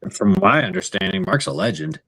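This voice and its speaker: Lively low-voiced man in casual chat. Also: husky, rough recording. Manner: easygoing, joking, quick reactions. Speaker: podcast co-host.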